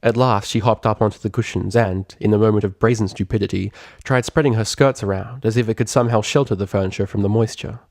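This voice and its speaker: A man reading fiction aloud as a scripted narrator, expressive and articulate in a dramatic storytelling manner. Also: lowish voice, fast talker, few pauses, no room sound, clean studio sound. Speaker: audiobook reader of fan fiction.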